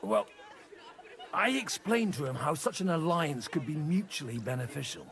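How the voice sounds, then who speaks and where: An actor playing a shrewd, worldly priest in a medieval video game, wry and persuasive in manner. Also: male voice, a little high, big pitch swings, normal speed, fairly bright tone, some chatter behind. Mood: persuasive, pleased. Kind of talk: dramatic video game voice acting.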